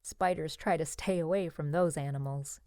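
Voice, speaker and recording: A woman narrates an educational children's book in a fairly low voice. Her read-aloud phrasing is simple and clear, and the sound is very dry, with no room around it. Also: normal speed, a little mellow, no room sound.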